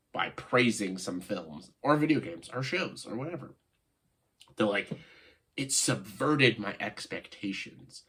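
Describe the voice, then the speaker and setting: A man with a stuffed-up, nasal voice from a cold, giving casual, opinionated video commentary in a halting way, stressing key words and often saying "like". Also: fairly low pitch, fairly bright tone, breathy edge. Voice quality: congested, nasal voice. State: congested, stuffed-up nose after a cold. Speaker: video host, film commentator.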